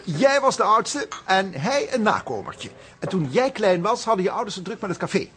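A smug young student in a radio comedy drama. His voice is high and lively in pitch, with posh, pompous, condescending diction. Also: moderate pace. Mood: condescending analysing. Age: young adult. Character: smug, posh young student fiancé who analyses everyone.

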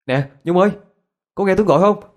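A man's voice: mid-range voice, lively intonation, a little fast, darkish tone, pitched above the performer's own voice, close to an everyday voice. A man playing a young male student in a ghost story, a concerned friend who talks colloquially and hesitantly. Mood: urgent.

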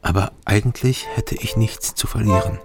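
Loud instrumental music surrounds a low male voice , acted: a tormented middle-aged ex-border guard in a radio play. He speaks his inner monologue in subdued, weighty short phrases, with a gravelly creak. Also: steady tone, moderate pace, lightly acted. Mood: haunted, brooding. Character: haunted East German man, a tormented former border guard.

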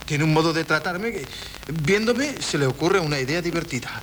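A man's voice: on the high side, lively intonation, brisk, a bit bright. A man playing a flustered, stammering husband. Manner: hesitant, flustered, many "eh"s. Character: flustered young husband, nervous and pleading. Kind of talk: 1970s Spanish radio drama acting. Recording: old radio broadcast with faint hiss.

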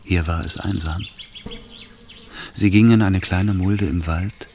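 A man narrating a literary radio drama in a calm, measured way. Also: deep voice, a little hiss or hum. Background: music.

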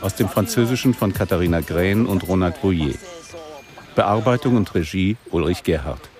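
A man's voice: low-pitched, expressive, moderate pace, a little mellow. A man reading formal scripted credits as a radio drama announcer. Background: music.

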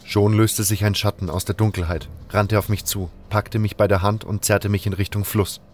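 A man's voice: on the low side, flat delivery, normal speed, slight background noise. A man reading scripted first-person narration for a fantasy fan audio drama.

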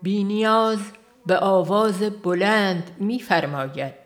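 A woman reading a religious book aloud as an audiobook, in a measured, earnest way that leans on rhetorical questions. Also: medium pitch, faint music behind. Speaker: audiobook reader.

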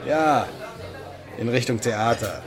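A male actor as a gruff bar keeper in a radio drama, middle-pitched and laconic. He talks in an offhand, defiant way, with music clearly behind him. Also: slow pace, natural voice. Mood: indifferent, defiant. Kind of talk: German radio drama acting.

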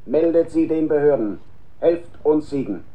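An actor playing a solemn state announcer who reads formal, declamatory wartime bulletins in a radio play. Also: male voice, a little high, lively intonation, normal speed, narrow, tinny audio, natural voice. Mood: solemn, exhorting.

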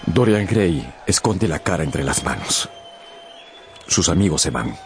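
A man narrating a radio drama in a scripted, theatrical and deliberate storytelling style. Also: a bass voice, lively intonation, slight background noise.

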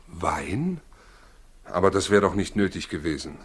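Joseph, low husky worried new father. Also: sing-song, measured pace, full character voice. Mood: guarded, polite. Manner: brooding, earnest. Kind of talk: 1960s German radio play acting.

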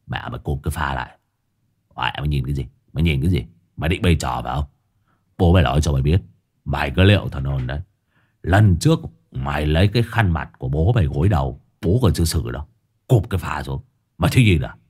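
A man's voice: a bass voice, animated, quick pace, a little mellow, breathy edge, pitched below the performer's own voice, exaggerated voice. A man voicing a crude, blustering male thug who swears coarsely and whines when he is scared. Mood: gruff scolding.

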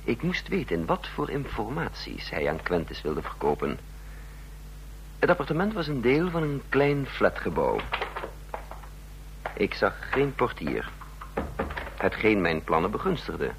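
An actor playing a cool, cunning secret agent in a radio drama, measured and ironic. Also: male voice, lowish voice, wildly swooping pitch, creaky, heavily acted. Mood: calm, calculating. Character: cool, cunning male secret agent, smooth-talking and mercenary. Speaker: lead actor and narrator. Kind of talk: Dutch radio drama acting. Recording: noisy vintage radio recording with hum.

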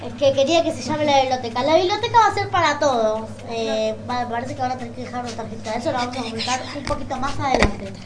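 High-pitched woman, radio co-host. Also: quick pace, few pauses, background noise. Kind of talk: casual radio announcing. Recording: music playing behind the voice.

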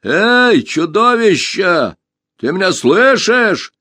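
A man's voice: high voice, sing-song, slow, no echo, studio quality, voice pushed up, full character voice. A man doing a brash hot-headed boy. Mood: defiant. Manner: loud, boastful and impulsive.

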